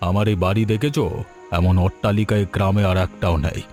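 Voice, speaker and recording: A man's voice: on the low side, steady tone, fast talker, darkish tone, lightly acted. A man voicing a proud, snobbish, wealthy village landowner in a cartoon. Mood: haughty. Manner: haughty. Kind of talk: Bengali cartoon dialogue. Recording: music behind the voice.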